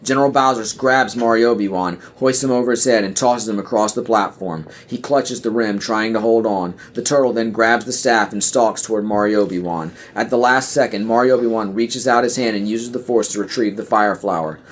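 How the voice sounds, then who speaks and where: Low-voiced man narrating an audio play. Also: a little fast, few pauses, slight background noise. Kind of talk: scripted screenplay narration.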